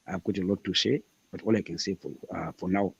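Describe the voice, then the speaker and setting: A man's voice: low voice, steady tone, fast, creaky, husky, lo-fi sound. A man in his thirties from Zimbabwe, a guest author answering interview questions in an earnest, emphatic way and repeating his points. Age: 30s.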